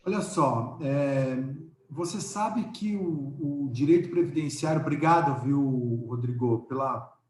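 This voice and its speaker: A man's voice: medium pitch, slow, slight background noise. Joking male professor in an echoey room. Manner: warm, joking, hesitant "é" fillers. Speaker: guest speaker, law professor. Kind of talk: casual academic chat. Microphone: video call.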